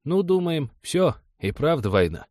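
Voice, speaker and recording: A man's voice: a little high, big pitch swings, measured pace, darkish tone, no echo, clean studio sound, pitched above the performer's own voice. A man voicing a stubborn old village war veteran, grumbling in folksy colloquial speech for an audiobook. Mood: reminiscing.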